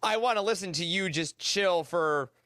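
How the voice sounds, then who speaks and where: Male podcast panelist, notably high and sharp voice, dry sound, informal analytical chat. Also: normal speed, smooth, no echo. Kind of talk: casual roundtable book discussion.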